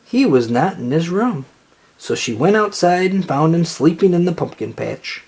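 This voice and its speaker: A storybook reader narrating aloud for children in an expressive read-aloud style. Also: mid-range voice, normal speed, slightly rough.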